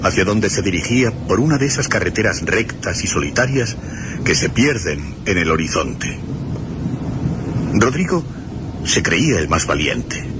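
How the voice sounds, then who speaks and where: A man narrating a scripted suspense radio play with dramatic, deliberate phrasing. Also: sits low, background noise.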